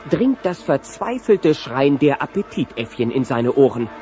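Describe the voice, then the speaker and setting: A man narrating a scripted children's audio story in an expressive storytelling style. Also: mid-range voice, unhurried. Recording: dull lo-fi cassette transfer. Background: music.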